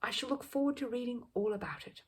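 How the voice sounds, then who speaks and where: Woman doing a kind older gent from a storybook, polite and encouraging, breathy husky voice. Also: a little high, quick pace, pitched above the performer's own voice. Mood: kindly, pleased. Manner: courteous, encouraging. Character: Mister Gruber, kindly encouraging older gentleman friend.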